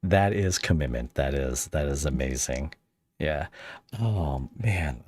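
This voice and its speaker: A man hosting a podcast interview, relaxed and curious, asking questions casually. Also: extremely low pitch, no echo. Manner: relaxed, curious, quick reactions. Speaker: podcast host.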